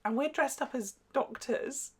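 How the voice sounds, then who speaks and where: High-voiced British man, casual and excitable. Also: unhurried, breathy edge. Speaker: reaction channel host. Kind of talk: casual chat.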